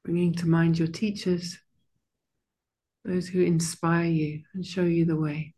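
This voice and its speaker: A soft-spoken woman leading a meditation and then chatting with her online class as their teacher, slow and gentle at first, then warm and full of fillers. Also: lowish voice, flat delivery, slightly rough. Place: monastery room.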